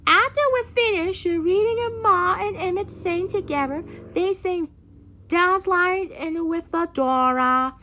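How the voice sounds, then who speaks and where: A woman reading a children's story aloud as an amateur, haltingly and stumbling over words. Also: extremely high pitch, pushed and bright. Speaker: storybook reader.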